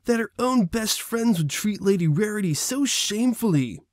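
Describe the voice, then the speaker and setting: Casting: earnest little cartoon pony acted by a man, high and prim, close dry sound, storybook reading. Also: lively intonation, normal speed, no echo. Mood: prim reproach. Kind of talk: fan fiction character reading.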